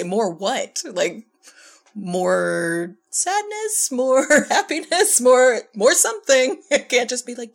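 A woman talks in a fairly low register with clear vocal fry. Her delivery is conversational and explanatory, and she stresses her points as a guest in a casual discussion. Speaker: podcast guest, director and former filmmaking teacher.

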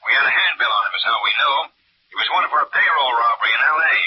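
A man's voice: mid-range voice, expressive, fast, natural voice. An American man playing a courteous, businesslike telephone caller in an old radio drama. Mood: matter-of-fact. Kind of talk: 1950s radio drama acting. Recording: muffled, hissy old radio, telephone-like sound.

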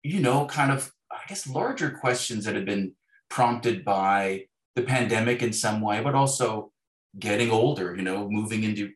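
A man in his fifties speaking as an author being interviewed, in a thoughtful, halting way with repeated words. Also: lowish voice. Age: 50s. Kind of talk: reflective conversational interview. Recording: echoey, roomy sound.

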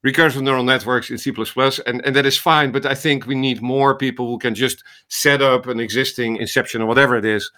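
A middle-aged man with a Dutch accent talks at length in an interview, working through his points with small restarts. His voice is bright and forward, set at an ordinary pitch for a man. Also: steady tone, brisk, hardly any pauses. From Netherlands. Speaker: guest, ophthalmologist and AI researcher. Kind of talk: thoughtful interview answers.

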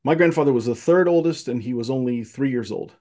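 A man's voice: mid-range voice, a little fast, warm tone. Earnest man recounting his family history. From Egypt. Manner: earnest, thoughtful, many restarts and fillers. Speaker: guest. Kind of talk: casual conversation, personal history.

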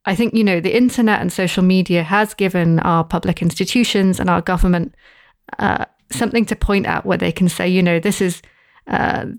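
A woman's voice: lowish voice, fairly even intonation, no echo. Thoughtful woman, dry close mic. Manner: thoughtful, halting with restarts and fillers. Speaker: podcast guest researcher. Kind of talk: casual podcast discussion.

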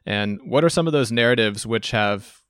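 A lower-voiced man hosts a podcast, reading his introduction at a measured pace. His voice is smooth and clear, recorded close and dry. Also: expressive, a bit bright, dry recording. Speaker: podcast host.

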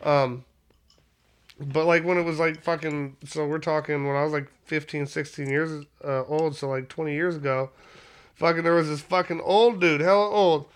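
A man's voice: a little high, fairly even intonation, normal speed. A man in his thirties co-hosting a podcast in a rambling, laid-back way, swearing constantly and laughing often. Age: 30s. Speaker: podcast co-host. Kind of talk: casual stoned chat.

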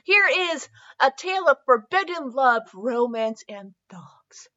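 A woman's voice: high voice, sing-song, a little slow, sharp tone, dull audio. A woman hosting a comedy fanfiction reading, giggly and mock-dramatic, breaking off into casual asides. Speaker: podcast host reading fanfiction.

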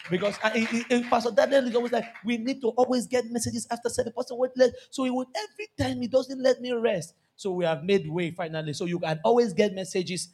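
Nigerian pastor preaching, high voice. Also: male voice, slight background noise. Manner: lively, repetitive preaching with asides to the congregation. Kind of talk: sermon. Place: church.

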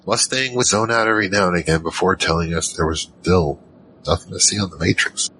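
A man acting a very deep-voiced, wary narrator. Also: a little fast, background noise. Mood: wry storytelling. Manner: conversational, rambling, wry. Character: wary, rambling male team member recounting a mission. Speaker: narrator and lead character. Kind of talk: first-person audio drama narration.

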